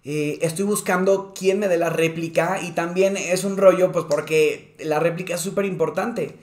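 A man's voice: high voice, fairly even intonation, moderate pace, echoey room. A young man, a guest actor chatting casually and joking. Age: young adult. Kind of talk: casual chat. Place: living room with a fireplace.

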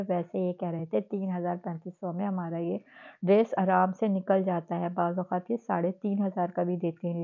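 A woman with a Karachi Urdu accent chatting casually to her viewers while showing dresses in a clothing shop. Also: medium pitch. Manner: chatty, addresses viewers as sisters. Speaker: shopping vlogger. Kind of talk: casual shopping walkthrough. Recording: phone-like narrow sound.

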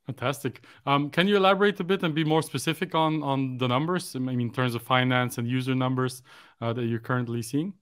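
A man in his forties, a native Dutch speaker, hosting an interview podcast over a video call with a headset. He speaks in a measured way, starting many phrases with "uh". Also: mid-range voice, steady tone, a bit bright, clear, resonant tone, dry recording, studio quality. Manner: measured, fills pauses with "uh". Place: room at home, indoors.

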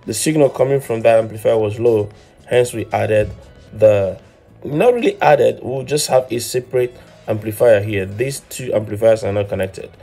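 A man's voice: on the low side, normal speed, creaky, dark tone, soft background music. A man in his thirties giving an informal hands-on tutorial as host, explaining step by step and often repeating words as he goes. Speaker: host of an electronics DIY channel.

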